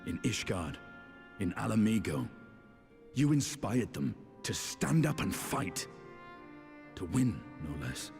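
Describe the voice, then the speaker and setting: Deep-voiced, stern warrior. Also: male voice, very animated, gravelly, darkish tone, heavily acted. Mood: solemn admiration. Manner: grave, measured, formal delivery. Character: stern, world-weary male warrior, grudgingly admiring. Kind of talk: scripted fantasy game dialogue. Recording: long reverb, music underneath.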